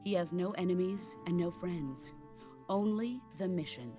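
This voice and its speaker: A seasoned female military mentor from a video game drama. Her voice sits fairly low and she speaks in a measured, solemn way, instructing with calm authority. Faint music plays underneath. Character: calm, commanding veteran female soldier mentor. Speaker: military mentor over radio. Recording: music behind.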